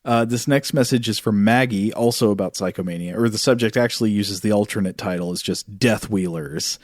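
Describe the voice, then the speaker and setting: A man co-hosts a podcast with a lowish voice and a conversational delivery. The recording is close and dry, with hardly any room sound. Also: fairly quick, no room sound. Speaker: podcast co-host. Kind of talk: casual chat, scripted ad reads and listener mail.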